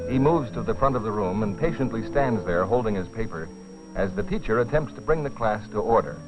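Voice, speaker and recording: A man narrating a dramatized film in a grave, deliberate scripted style with dramatic pauses. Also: medium pitch. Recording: dull old film soundtrack, music behind. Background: dramatic music.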